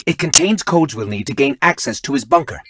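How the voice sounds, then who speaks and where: An actor playing an eager, nerdy little robot companion in a video game, chatty, fussy and expressive. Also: male voice, on the high side, brisk, noisy background. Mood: alert.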